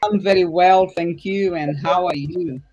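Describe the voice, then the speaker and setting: A woman's voice: on the low side, fairly even intonation, unhurried, darkish tone, dull audio. Enthusiastic woman hosting a podcast interview. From Portugal. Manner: enthusiastic, laughs often, speaks non-native English with slips. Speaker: podcast host. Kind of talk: casual interview.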